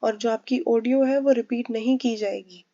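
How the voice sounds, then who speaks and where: A young woman walks students through test tasks, switching easily between Hindi and English and pausing often to check they follow. Her voice sits a little high yet mellow, close on a dry mic with a dull, lo-fi sound. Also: steady tone, no room sound. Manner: explains patiently, with frequent "theek hai" and "like" check-ins. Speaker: PTE English test tutor. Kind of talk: Hindi-English mixed tutorial explanation.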